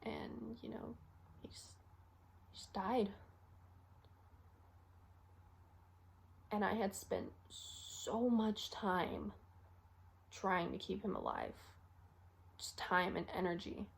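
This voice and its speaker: A weary young female medical intern, played by a woman in an audition monologue, with a creaky, mid-pitched voice. She talks in a halting, confiding way, frustrated and worn down, in naturalistic stage-monologue style. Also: lightly acted. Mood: grieving, bitter.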